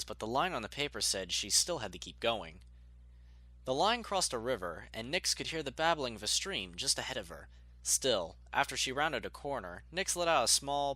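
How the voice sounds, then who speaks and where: A man narrating a scripted story in an expressive storytelling manner. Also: medium pitch, moderate pace, no echo.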